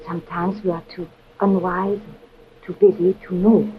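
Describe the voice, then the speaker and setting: An actress as a cool seductive spy, vintage radio. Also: female voice, fairly low pitch, level tone, lightly acted. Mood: cool, teasing. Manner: formal, measured, coolly teasing. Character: cool, seductive foreign female agent, calmly menacing. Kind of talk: old-time radio adventure acting. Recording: dull, noisy vintage radio recording.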